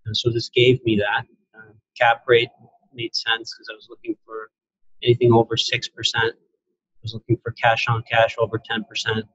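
A man in his forties talks through his story on a video call, with a husky, slightly breathy voice. He speaks in an even, fairly flat way, rambling easily and filling pauses with "uh". The sound is dull and lo-fi, the way a laptop mic records it. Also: medium pitch, no echo. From Syria, raised in New York and New Jersey. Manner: relaxed, rambling, many "uh" fillers and restarts. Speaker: guest, real estate investor. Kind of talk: casual interview on a video call. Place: indoor room, on a video call.

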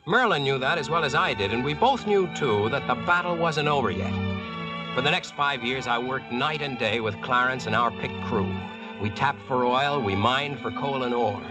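An actor playing a confident, plain-spoken American Yankee engineer in old-time radio drama style. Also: male voice, mid-range voice, animated, normal speed, lightly acted, rough recording. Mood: resolute. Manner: plain-spoken, folksy, self-assured. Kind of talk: 1940s radio acting, narration and dialogue. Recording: old radio drama recording, music behind.

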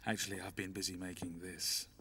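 An actor playing a gentle, devoted son in a video game drama. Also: male voice, deep voice, level tone, a little fast, distant mic, a little hiss or hum. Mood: caring. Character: gentle, caring grown son, devoted to his mother.

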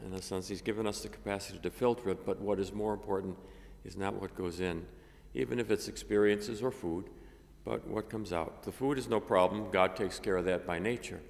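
An older man, a priest, reading a gospel and preaching a homily at a lectern microphone, measured and halting with many fillers. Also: low voice, fairly even intonation, creaky. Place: cathedral chapel, at a lectern. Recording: long reverb of a chapel.

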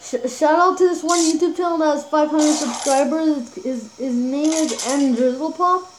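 Halting, high-voiced young gamer woman. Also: noisy background. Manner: halting, repeats words, many fillers. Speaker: YouTube gamer. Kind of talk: casual mobile gameplay commentary. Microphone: phone screen recorder mic.

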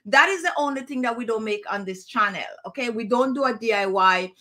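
A middle-aged woman from Jamaica with a Jamaican accent, chatting to camera in a relaxed, digressive skincare tutorial, laughing now and then. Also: on the high side, a little fast. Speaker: skincare vlogger. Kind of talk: casual tutorial talk to camera. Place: indoor room with plants and screen.

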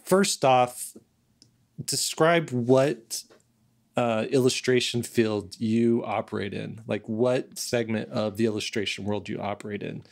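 A middle-aged man hosting a casual podcast, thinking aloud in a halting way, close to a desk microphone. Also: medium pitch, steady tone, vocal fry. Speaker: podcast host. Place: home studio, on a video call. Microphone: large desk microphone, close.

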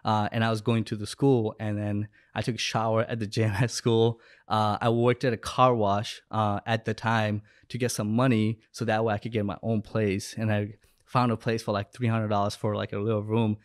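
A man's voice: lowish voice, level tone, moderate pace, smooth, no echo. A young man from Bangladesh talking casually and anecdotally as a podcast guest, close on a microphone. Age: young adult. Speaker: podcast guest, business owner and coach. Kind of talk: casual storytelling in interview. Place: room at home or studio, indoors. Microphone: close podcast microphone with headset.

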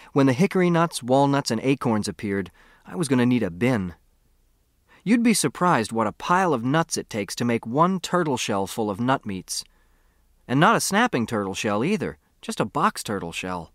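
An American man reading a first-person novel as an audiobook narrator, with expressive, boyish storytelling. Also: mid-range voice, dry recording, studio quality.

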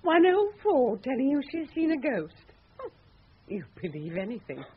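A woman's voice: high-pitched, huge pitch swings, moderate pace, breathy edge, full character voice. A woman acting in a radio drama as a scornful, exasperated wife with a mocking, cutting manner. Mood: dismissive. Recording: tinny, band-limited radio drama recording.

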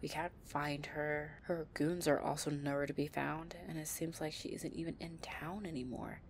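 A woman's voice: deep voice, level tone, normal speed, slight background noise. A woman playing a tough but tender, anxious delinquent girlfriend in an intimate roleplay audio, murmuring breathily. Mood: worried, exasperated.

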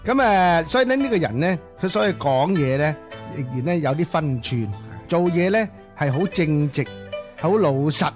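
A man's voice: on the high side, expressive, normal speed. Male radio co-host talking over music. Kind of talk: conversational literary commentary on radio. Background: music under the voice.

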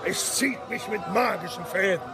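An actor playing a pompous, excitable and pious emperor with boyish streaks, declaiming with drawn-out words in a radio drama. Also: male voice, high-pitched, over a noisy crowd, heavy background noise, lightly acted. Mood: grandiose.

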